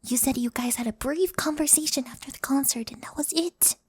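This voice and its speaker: A woman's voice: high-pitched, brisk, dry recording, close to an everyday voice. A woman playing an insecure, easily flustered young delivery girl in an audio roleplay, stammering and emotional. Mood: hurt, flustered. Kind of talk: scripted audio roleplay acting.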